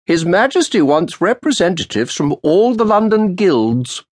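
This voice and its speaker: A man putting on a sharp-voiced, stern old man. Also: high voice, big pitch swings, measured pace, no room sound, clean studio sound, voice pushed up, exaggerated voice. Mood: grave. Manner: stern, clipped, sardonic. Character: stern sardonic old man past sixty.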